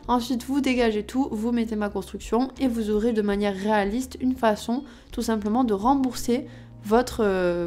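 A young woman talks through her game build in a loose, rambling way, full of little fillers. Her voice is hoarse and husky from illness. It sits a touch higher than most. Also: mellow. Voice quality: husky, cracked voice. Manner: chatty, thinking aloud with many fillers. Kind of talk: casual gameplay commentary.

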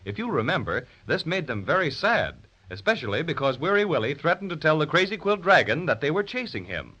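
A man's voice: fairly high pitch, sing-song, brisk, sharp tone, breathy edge. A man narrating a scripted 1930s children's radio serial as announcer. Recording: dull, band-limited 1930s radio recording.

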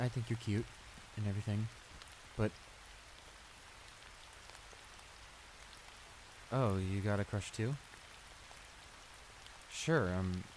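A man's voice: fairly low pitch, poor audio. A man playing a shy, flustered school bully in an audio roleplay, stammering and hesitant as he apologizes and gets embarrassed. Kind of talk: intimate improvised audio roleplay acting. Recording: rain noise behind the voice. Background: steady rain.

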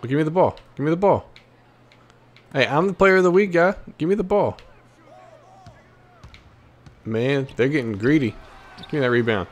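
A man's voice: fairly high pitch, lively intonation, moderate pace, pauses often. A man giving casual, slangy gameplay commentary, cocky and playful with plenty of trash talk. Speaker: gaming video host.

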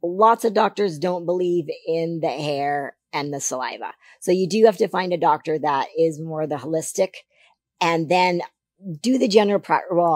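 A woman in her forties from Canada, a podcast guest, talking emphatically about health in a casual interview into a boom mic in a studio. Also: dry recording. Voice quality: deeper voice than she once had. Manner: emphatic, animated advice-giving. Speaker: podcast guest, figure competitor. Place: studio set on a sofa. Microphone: boom mic on a stand.

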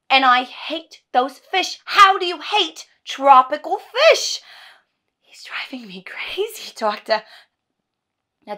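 A woman performing a theatrical monologue as an exasperated, sarcastic wife venting to her doctor, emphatic and swinging between hushed and loud. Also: mid-range voice, breathy edge.

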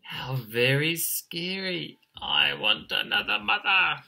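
A man's voice: a little high, lively intonation, takes it slowly, vocal fry, sharp tone, voice pushed up, heavily acted. A man voicing a small, easily scared, indignant emu chick in a picture-book story, chirpy and exclamatory. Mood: frightened, indignant. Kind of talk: picture-book read-aloud.

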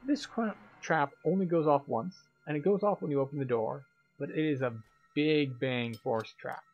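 A man's voice: on the high side, moderate pace, highs cut off, faint music behind. A man giving casual, step-by-step commentary as he guides viewers through a video game. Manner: explanatory, step-by-step.

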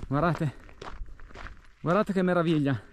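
A man's voice: a little high, a little slow. A man casually narrating a hiking vlog to camera, recorded outdoors. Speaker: hiking and amateur radio vlogger. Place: outdoors on a mountain trail. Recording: noisy outdoor recording with clicks.

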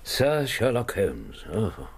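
An actor playing a good-humoured, loyal male doctor in a radio drama, bantering gently. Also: on the low side, huge pitch swings, slow pace, slight background noise, full character voice.

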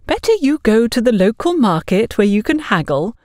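A woman's voice: fairly high pitch, sing-song, normal speed, a little mellow, no echo, clean studio sound, voice pushed up. A woman voicing an overbearing, gossipy, smugly condescending woman in an audio story, talking down to others in an effusive way. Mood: condescending surprise. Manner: bossy, effusive, talks down to others.

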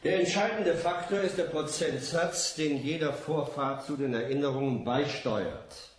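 An actor playing an assured doctor in a radio play, explaining things with emphasis. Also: male voice, a little high, unhurried, echoey room, slight background noise, lightly acted.

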